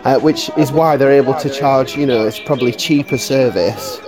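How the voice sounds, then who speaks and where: Chatty man saying "you know" over loud music. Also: mid-range voice, moderate pace. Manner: friendly, filler-heavy with "you know". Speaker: guest podcaster. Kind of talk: casual live chat.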